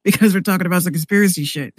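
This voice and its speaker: An American woman chatting casually and candidly in a slangy, animated way, acting out exchanges as she tells stories. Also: lowish voice, fast, breathy edge, no echo, studio quality. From New York City, US. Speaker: podcast guest, rapper and radio show host. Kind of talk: casual conversational storytelling.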